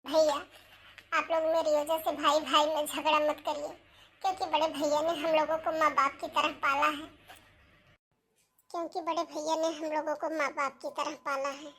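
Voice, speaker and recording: A woman's voice: high voice, level tone, normal speed, fairly bright tone, slight background noise. A woman voicing a plaintive, wronged young sister in a melodramatic cartoon story. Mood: pleading. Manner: plaintive, self-pitying, respectful. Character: tearful motherless young sister, pleading and wronged.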